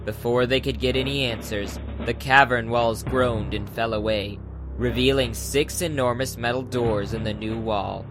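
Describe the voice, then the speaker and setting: A man's voice: medium pitch, fairly even intonation, moderate pace. A man giving scripted, dramatic narration for an audiobook adventure. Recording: music under the narration.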